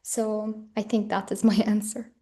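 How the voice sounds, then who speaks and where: A woman's voice: medium pitch, flat delivery, a little slow, dark tone. A young woman answering questions as a guest in a webinar interview, speaking earnestly and reflectively with frequent "like" fillers, over a video call. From Palestinian and Ukrainian background.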